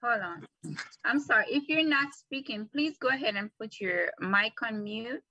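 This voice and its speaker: A woman hosting a call-in radio show, introducing a guest and briskly keeping callers in order. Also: on the high side, pushed and bright, dry recording, highs cut off.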